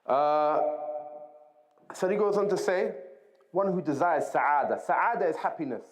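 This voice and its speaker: A man's voice: on the high side, unhurried. Man teaching an informal religious lesson. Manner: conversational, repeats key phrases, checks in with short tag questions. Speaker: religious teacher reading and explaining a book. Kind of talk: informal Islamic lesson, explaining point by point.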